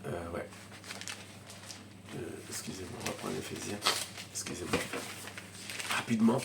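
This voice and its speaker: Male pastor, head cold, fervent sermon with loud shouted peaks and hushed mutters. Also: deep voice, normal speed, creaky, heavy background noise. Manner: swings from shouted preaching to quiet murmuring asides; speaks in tongues in bursts. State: has a cold, coughing.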